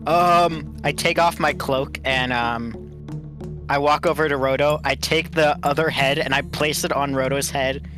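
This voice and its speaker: Guy at a dnd table, kinda high voice, chatting casually, music playing loud behind. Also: steady tone, a little slow. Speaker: tabletop game player. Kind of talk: casual game-table chat.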